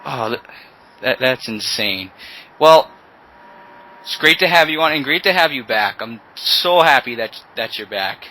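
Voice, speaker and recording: Stammering teenage podcast host. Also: male voice, mid-range voice, lively intonation, bright, forceful tone, slight background noise, poor audio. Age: teens. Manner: halting, repeats words as he restarts. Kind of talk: casual chat.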